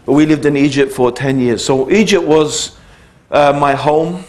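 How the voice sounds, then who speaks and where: A man's voice: a little high, measured pace, a little mellow. Man telling his life story. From United Kingdom. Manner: conversational, digressive, with many fillers and restarts. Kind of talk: public talk, personal storytelling.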